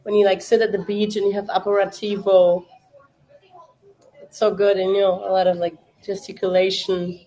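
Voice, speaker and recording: A young woman whose native tongue is German talks in relaxed, rambling chat as she hosts a live watch party. Her English carries a clear German accent and she leans on fillers as she answers her viewers. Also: mid-range voice, normal speed, poor audio. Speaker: livestream watch-party host. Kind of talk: casual livestream chat.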